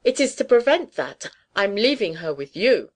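A woman voicing a domineering, brisk older society aunt in a storybook, with an imperious, emphatic manner. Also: mid-range voice, sing-song, pitched above the performer's own voice. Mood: bossy. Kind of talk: audiobook character dialogue.

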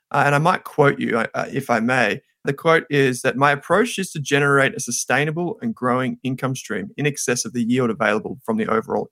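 A man's voice: mid-range voice, a bit bright, dry recording. Curious podcast host, a man. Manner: curious, polite, slightly hesitant with "uh"s. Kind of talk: podcast interview.

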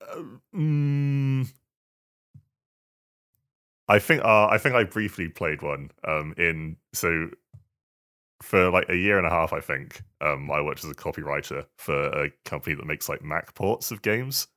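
A man's voice: sits low, expressive, measured pace, bright, forceful tone. A man co-hosting a podcast, chatting casually about video games in a tentative, mild-spoken way. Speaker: podcast host.

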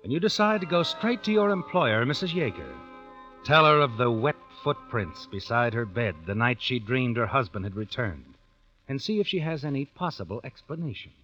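An actor playing a knowing, suspenseful narrator who tells an old-time radio drama in the second person. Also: male voice, mid-range voice, lively intonation, moderate pace, a bit bright, close to an everyday voice. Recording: dull vintage radio recording with music.

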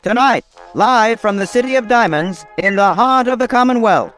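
An actor playing a grand, excited radio show announcer, declaiming dramatically in 1940s style. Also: male voice, high-pitched, normal speed, faint music behind. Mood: dramatic fanfare.

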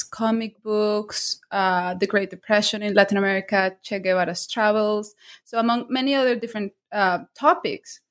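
A woman hosting an interview podcast who reads a formal introduction and asks long, enthusiastic questions. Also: medium pitch.

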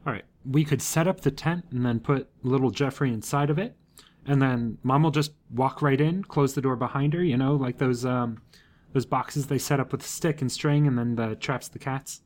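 A man's voice: mid-range voice, normal speed, darkish tone, natural voice. Man playing a blunt, matter-of-fact team member. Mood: practical. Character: blunt, practical woman on an expedition team. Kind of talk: hobby audio drama acting.

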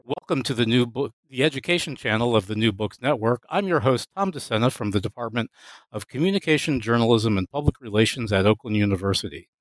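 A man hosting an academic book podcast, reading a formal introduction and asking measured questions. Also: mid-range voice, no room sound, rough recording. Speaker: podcast host. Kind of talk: scripted introduction and interview questions.

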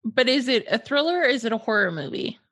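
Female co-host, husky smoky voice, teasing and often laughing, slightly high pitch. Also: big pitch swings, fast, fairly bright tone. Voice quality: low husky smoker's voice. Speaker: podcast co-host. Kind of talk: casual comedic chat.

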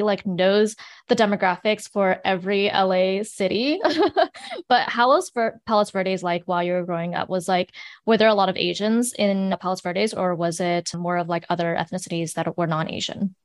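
A woman's voice: medium pitch, moderate pace. Chatty woman podcast co-host. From San Francisco, California. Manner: friendly, chatty, frequent "like". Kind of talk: casual interview chat.

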